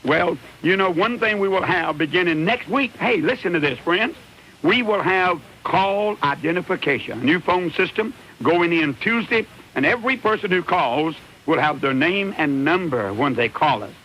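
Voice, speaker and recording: Radio preacher guy on a call-in show, kinda high voice, earnest, repeats himself, bit of hiss. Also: expressive, normal speed, sharp tone. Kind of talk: live religious call-in radio talk. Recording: hissy, noisy radio broadcast recording.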